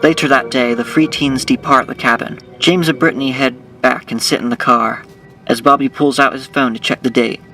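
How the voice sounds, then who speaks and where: A man's voice: mid-range voice, fairly even intonation, moderate pace, pushed and bright, distant mic, soft background music, low-quality recording. A man giving scripted, dramatic narration as the narrator of an audio drama.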